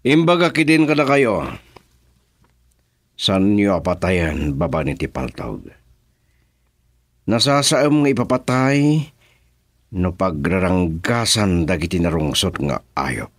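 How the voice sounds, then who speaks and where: A crime boss in an Ilocano radio drama, with a low voice that swings widely as he gives orders. He is commanding, short-tempered and threatening, and his Ilocano accent comes through on a close, dry recording. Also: big pitch swings, slow, pauses often, no room sound, full character voice. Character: menacing crime boss ordering a kidnapping, quick-tempered man.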